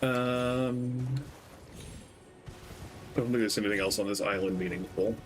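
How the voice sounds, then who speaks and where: A young man streaming a game, talking in a gentle, soft-spoken, rambling way with lots of ums. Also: fairly low pitch, unhurried. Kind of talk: casual gameplay stream chat. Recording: game music playing behind. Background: game music.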